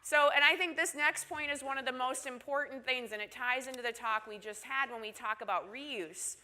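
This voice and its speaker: A woman's voice: high-pitched, normal speed, pushed and bright. A woman from Minnesota with a Minnesotan accent, giving a conference presentation in a chatty way, often asking the audience "right?". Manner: chatty, asks audience questions with tag "right?".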